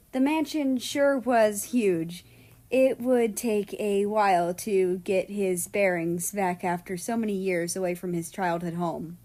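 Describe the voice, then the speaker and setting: A woman's voice: medium pitch, a little mellow. A woman narrating a scripted fan fiction story as a reader.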